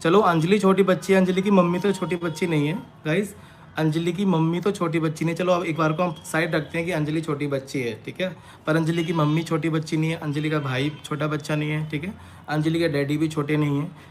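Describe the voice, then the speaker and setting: Defensive man, higher-pitched, on noisy live stream. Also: flat delivery, brisk. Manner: defensive, emphatic, repetitive. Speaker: online creator answering accusations. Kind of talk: unscripted live-stream address to followers. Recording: noisy live-stream audio with background ambience.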